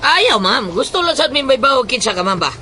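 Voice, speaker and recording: Man acting a nervous schoolboy, bright voice, swooping pitch, polite, stammering, audio drama. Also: big pitch swings, brisk, slight background noise, full character voice. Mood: evasive. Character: nervous, evasive schoolboy answering an older woman.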